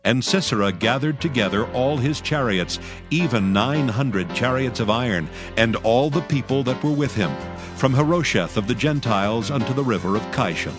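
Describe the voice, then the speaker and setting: A man narrating a dramatized scripture in archaic English, with solemn, measured storytelling. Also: mid-range voice, lively intonation, over music.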